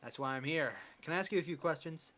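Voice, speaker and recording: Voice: medium pitch, fast, fairly bright tone, natural voice. A performer voicing a polite, earnest male doctor asking questions in a fan dub. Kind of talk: amateur fan dub of a medical drama.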